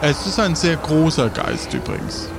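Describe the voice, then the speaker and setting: A man improvising narration as game master of a tabletop game, halting and searching for words. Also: on the high side, wildly swooping pitch, gravelly, background noise.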